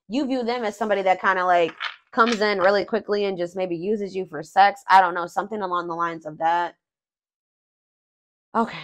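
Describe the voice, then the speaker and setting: A woman's voice: medium pitch, a little hiss or hum. Chatty woman reading tarot aloud. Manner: thinking aloud, many fillers and restarts. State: voice going hoarse. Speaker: tarot reader. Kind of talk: casual tarot reading narration.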